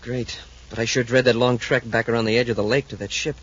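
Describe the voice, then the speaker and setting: An actor playing an eager young space cadet sidekick in a 1950s radio serial, deferential and given to exclaiming. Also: male voice, medium pitch, little pitch movement, fast talker, a bit bright, slightly rough. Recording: muffled, hissy 1950s radio recording.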